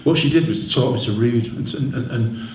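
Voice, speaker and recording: A man's voice: medium pitch, brisk, darkish tone. A middle-aged man with a Manchester accent telling personal stories to an audience in a candid, rambling, colloquial way. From Manchester, England. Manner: candid, rambling, colloquial, frequent "you know". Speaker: author and musician interviewed at his book launch. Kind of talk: informal personal storytelling to an audience. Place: indoor event hall, on stage. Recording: roomy live venue with background noise.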